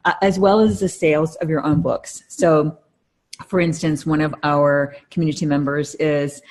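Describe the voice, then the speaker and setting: A woman's voice: sits low, steady tone, normal speed, a little mellow. A middle-aged woman co-hosting a podcast in casual conversation, explaining things earnestly. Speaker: podcast co-host.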